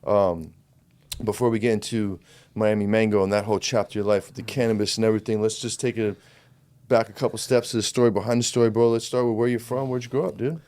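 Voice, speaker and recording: A man with a lowish voice hosts a casual podcast, hyped and full of slang. He keeps the guest going with quick encouraging remarks. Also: fairly even intonation, darkish tone, no echo. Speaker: podcast host.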